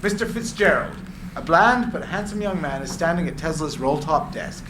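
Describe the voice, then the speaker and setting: Higher-voiced man narrating in echoey room. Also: expressive, moderate pace. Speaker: narrator of stage directions. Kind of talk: scripted narration at a live screenplay reading. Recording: echoey room with clear background noise.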